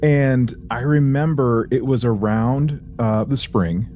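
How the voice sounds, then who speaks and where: A man's voice: mid-range voice, slow pace, lo-fi sound, faint music behind. A man co-hosting a podcast in casual conversation, rambling and joking in slangy everyday language. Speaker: podcast co-host. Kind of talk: casual chat and anecdotes between friends.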